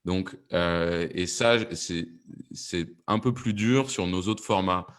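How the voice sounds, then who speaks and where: Male producer on a panel, very deep voice, halting search for phrasing. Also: smooth. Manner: halting, repeats words while searching for phrasing. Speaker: audio fiction producer, panel guest. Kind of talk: panel discussion, explanatory.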